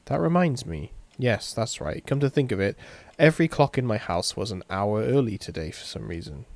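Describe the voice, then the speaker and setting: Male let's-play reader, lower register, theatrical and expressive, narrates game text with off-the-cuff reactions. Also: fairly quick, no room sound. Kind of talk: reading a visual novel aloud with reactions.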